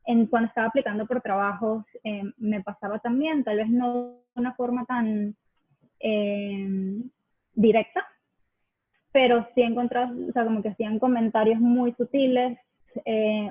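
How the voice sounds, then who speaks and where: Woman, Venezuelan accent, husky voice, dry close sound, reflective with fillers. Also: on the high side, no room sound, phone-quality sound. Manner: reflective, many fillers and self-corrections. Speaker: guest. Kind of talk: conversational interview.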